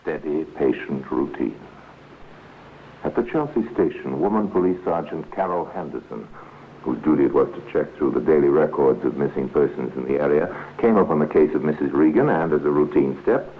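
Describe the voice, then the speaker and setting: An extremely deep-voiced storyteller of an old radio crime series. He is measured and grave with a wry edge. The vintage recording is tinny, with some hiss. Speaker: narrator. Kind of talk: 1950s radio crime drama narration.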